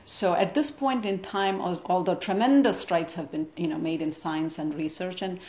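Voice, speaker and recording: A woman from India, a guest on a TV interview, explaining her views in an articulate, measured way. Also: fairly low pitch, a little mellow, echoey room. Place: TV studio.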